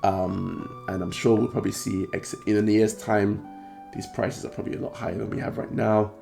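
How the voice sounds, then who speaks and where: A man with a British accent hosting a trading card channel, talking casually to camera in a croaky, hoarse voice, rambling and clearing his throat often. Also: fairly low pitch, fairly even intonation, normal speed, music in the background. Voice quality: gravelly.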